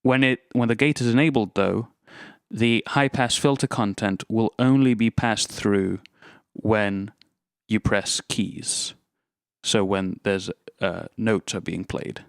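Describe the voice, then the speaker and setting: A man's voice: fairly low pitch, steady tone, slow, vocal fry, dry recording, studio quality. A man presenting an instructional gear demonstration, explaining methodically, step by step. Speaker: tutorial presenter.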